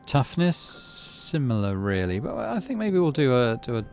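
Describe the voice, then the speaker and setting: A man's voice: medium pitch, sing-song, normal speed, soft background music. A man hosting a game playthrough, reading story text aloud and thinking through his moves in a muttering way. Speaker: gaming channel host. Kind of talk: let's play commentary and read-aloud narration.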